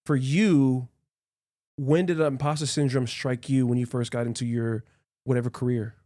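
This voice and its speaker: Podcast host, curious playful questions, warm mellow tone, dry close sound. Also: medium pitch, steady tone, normal speed, no echo. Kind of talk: casual interview questions.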